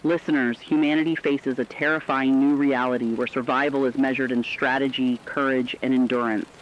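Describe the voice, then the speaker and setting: A man playing a stern, resolute war correspondent who delivers scripted radio news in a formal, measured, earnest way. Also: medium pitch, level tone, highs cut off, slight background noise, natural voice. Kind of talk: scripted dramatic news narration.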